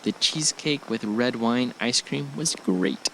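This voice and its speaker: A man's voice: mid-range voice, lively intonation, unhurried, pushed and bright, a little hiss or hum, low-quality recording. A man acting an affectionate, reassuring young husband in an amateur audio drama, speaking in a casual, slightly hesitant way. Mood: relaxed. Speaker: actor in an amateur radio drama. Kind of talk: naturalistic audio drama dialogue.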